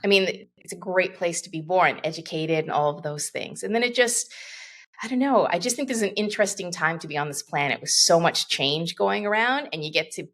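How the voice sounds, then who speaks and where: A woman's voice: lowish voice, a little fast, a bit bright. A woman in her fifties from Canada, a guest coach talking in a reflective, rambling way with many asides. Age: 50s. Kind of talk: casual interview conversation.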